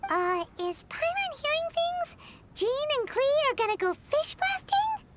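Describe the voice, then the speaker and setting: A woman's voice: extremely high pitch, very animated, measured pace, a bit bright, slight background noise, full character voice. A woman voicing a small, chatty, childlike fairy companion in a video game, exclamatory and talking about herself in the third person. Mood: exasperated, surprised. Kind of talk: animated game character acting.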